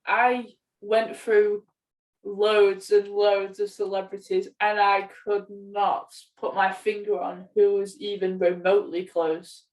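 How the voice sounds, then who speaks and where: A woman's voice: medium pitch, level tone, slow. A young woman chatting casually as a podcast co-host. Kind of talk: casual chat. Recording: voice distant from the microphone.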